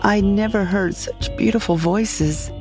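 A woman's voice: mid-range voice, measured pace. A woman narrating a first-person comic science fiction audio drama. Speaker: narrator and lead character. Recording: music behind the voice.

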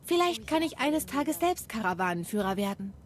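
Friendly young trader gal in a game, earnest, kinda faint hiss behind. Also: female voice, fairly high pitch, sing-song, moderate pace. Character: young female townswoman trader, ambitious. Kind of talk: game voice acting.

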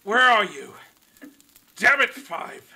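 An agitated male captive acted by a man scolds in a high, extremely forceful, rough voice. The words come out drawn and very slow, in fan audio drama style. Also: sing-song, full character voice. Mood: frantic, angry.

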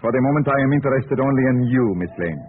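Casting: male actor as pompous, menacing mad scientist for old radio thriller, rapid delivery, narrow tinny sound with faint noise. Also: medium pitch, lively intonation, close to an everyday voice. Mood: coldly menacing. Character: villainous mad professor, ruthless, coldly courteous. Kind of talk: 1940s radio acting. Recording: tinny, noisy 1940s radio broadcast.

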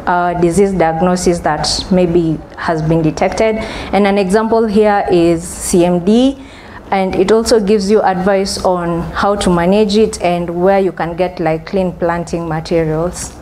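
A woman's voice: lowish voice, fairly even intonation, unhurried, dark tone, slight background noise. Woman presenting at a conference. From Kenya. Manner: explanatory, frequent um and restarts. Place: large conference hall with stage. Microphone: podium microphone.

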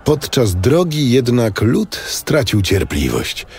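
A man's voice: medium pitch, sing-song, takes it slowly, vocal fry, noisy background. A man narrating scripture from a script in a solemn storytelling style. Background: music.

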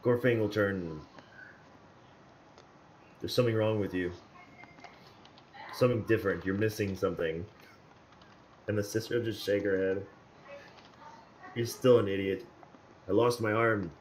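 Low-voiced dude playing a tabletop rpg, chill, says what he does then talks in character, little hiss behind. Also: measured pace, long pauses, a little mellow. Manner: casual, describes actions then speaks in character. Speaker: player. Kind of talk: tabletop roleplay with voice chat.

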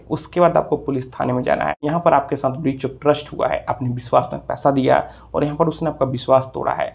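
A man in his thirties talks as an instructive video host, explaining things step by step. His voice is mid-pitched and husky, with little melodic movement, and he often circles back over his phrases. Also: darkish tone. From India. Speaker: legal advice video host. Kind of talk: explanatory legal advice to camera. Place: small office room, indoors.

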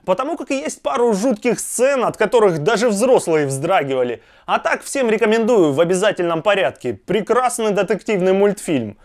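A man in his twenties presenting a scripted film review with enthusiasm and clear opinions. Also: high-pitched, expressive, normal speed. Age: 20s.

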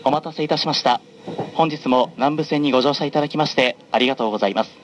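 Male train announcer over clear carriage noise. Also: mid-range voice. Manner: formal, measured, polite. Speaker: train conductor making onboard announcements. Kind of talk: formal scripted train announcement. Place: onboard a running train, over the announcement system. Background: train running noise.

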